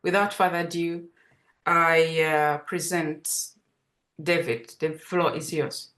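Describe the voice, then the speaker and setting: A woman with a Ugandan Dutch accent hosts an online panel, her voice sitting low. She stumbles often, with fillers and restarts. The sound comes through a video call. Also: steady tone, slow, a bit bright. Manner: hesitant, many fillers and restarts. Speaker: webinar moderator.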